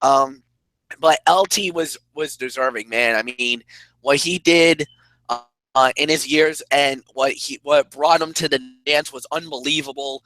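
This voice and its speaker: A man with a hoarse, raspy voice, co-hosting casual sports talk and rambling excitedly with restarts. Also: on the high side, measured pace, no echo, low-quality recording. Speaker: co-host.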